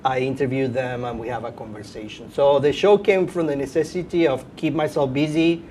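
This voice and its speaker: A middle-aged man with a Venezuelan accent tells his answers as an interview guest. His pitch is average, and he speaks conversationally with informal asides. There is noticeable low rumbling noise in the recording. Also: a little fast, dark tone. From Caracas, Venezuela. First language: Spanish. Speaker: guest, professor and podcast host.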